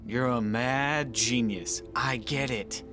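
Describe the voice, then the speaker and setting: An actor playing a sarcastic, grumbling player in a comedy tabletop game. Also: male voice, medium pitch, takes it slowly, over music, close to an everyday voice. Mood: sardonic. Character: sardonic man playing a doomed fighter.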